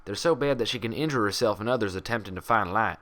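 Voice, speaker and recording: A man's voice: on the low side, fast, fairly bright tone, lightly acted. Man voicing a folksy, frank cartoon pony mare doctor. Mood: frank, explaining.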